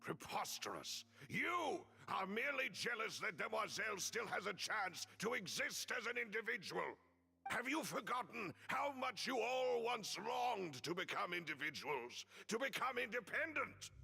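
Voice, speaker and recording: A man voicing a gallant, sardonic, loyal gentleman bodyguard in a video game, speaking in a formal, florid way. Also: high voice, big pitch swings, moderate pace, gravelly, husky, soft background music, heavily acted. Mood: indignant, defiant. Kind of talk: theatrical video game voice acting. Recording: long hall reverb.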